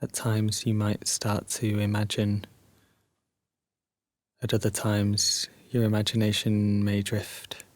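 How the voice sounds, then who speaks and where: Very slow, low-voiced male meditation guide. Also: completely flat delivery, dry recording. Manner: soothing, hushed, unhurried with long pauses. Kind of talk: guided sleep meditation narration, scripted storytelling.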